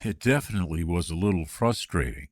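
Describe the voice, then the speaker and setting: A man reading the candidate's part in a scripted practice job interview, with clear, measured delivery. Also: low voice, animated, no room sound, clean studio sound.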